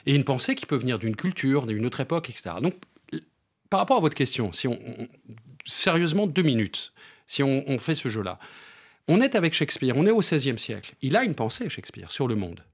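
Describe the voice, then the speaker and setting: Bilingual guy on radio, husky voice, swings up and down a lot, keeps hammering his point, repeats words. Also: fairly quick. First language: bilingual French and Portuguese. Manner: emphatic, repeats words, lively pitch swings. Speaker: theatre director, guest. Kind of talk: radio interview, animated explaining.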